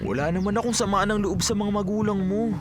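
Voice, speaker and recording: A man's voice: high voice, steady tone, fast talker, noisy background, lightly acted. A man playing a devoted, worried young son in a Filipino radio drama, with an earnest, dramatic delivery. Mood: earnest, troubled.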